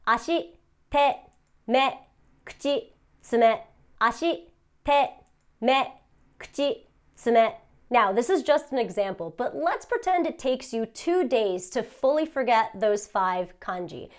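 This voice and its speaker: A woman's voice: a little high, lively intonation, slow pace, long pauses. Upbeat young woman teaching. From United States. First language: Japanese. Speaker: language teacher. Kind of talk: scripted educational monologue. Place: home in Japan.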